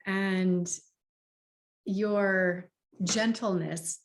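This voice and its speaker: A middle-aged woman interviewing a guest over a video call, affirming and unhurried. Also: medium pitch, steady tone, low-quality recording. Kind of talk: casual interview chat. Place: home room.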